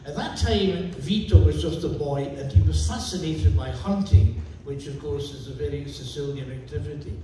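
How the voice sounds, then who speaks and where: Older Scottish author, mellow tone, wry storyteller, echoey room heard from afar. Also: male voice, on the high side, normal speed, few pauses, recorded from a distance, slight background noise. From Scotland. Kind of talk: book talk anecdote. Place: bookstore event room. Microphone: far camera.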